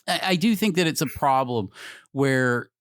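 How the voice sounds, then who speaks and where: A man in his forties co-hosting a casual podcast chat, animated and swearing, with many restarts. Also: medium pitch, moderate pace, no room sound, studio quality. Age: 40s. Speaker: podcast co-host.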